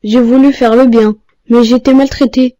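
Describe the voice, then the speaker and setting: A woman acts a young cartoon boy with a fairly high, rounded, unsharp voice. He is polite and pious, sincere in everything he says and easily touched. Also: steady tone, normal speed, dark tone, dull audio, lightly acted. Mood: hurt, indignant. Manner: earnest, courteous. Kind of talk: didactic cartoon dialogue.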